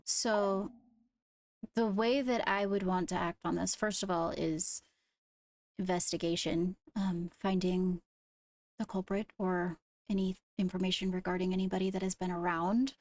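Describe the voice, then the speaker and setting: Female investigator for fantasy game roleplay acted by a woman: formal, measured, careful with words, gravelly fry, close dry sound. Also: on the low side, steady tone, a little mellow, no echo. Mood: measured, businesslike. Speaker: roleplay player acting as an investigator. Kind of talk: improvised in-character roleplay dialogue.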